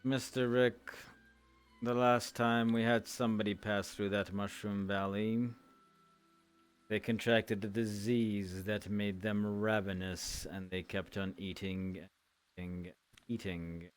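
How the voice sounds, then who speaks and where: A man playing a formal, stern fantasy town guard leader in an online roleplay, speaking in measured, old-fashioned phrases. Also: lowish voice, level tone, clean tone, faint music behind. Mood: grave, deliberate. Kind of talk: in-character virtual reality roleplay.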